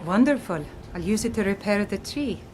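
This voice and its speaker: Actress as devout priestess, mid-pitched, kindly, fantasy game character, faint noise behind. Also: female voice, lively intonation, moderate pace, a little mellow, lightly acted. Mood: grateful, gentle.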